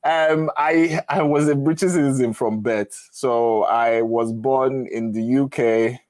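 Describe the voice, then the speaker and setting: A man's voice: mid-range voice, fairly even intonation, slow pace, darkish tone, no echo. A middle-aged man with a Nigerian accent, chatting frankly and casually as he hosts a live advice session and tells stories. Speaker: host of a live Q&A on moving to the UK.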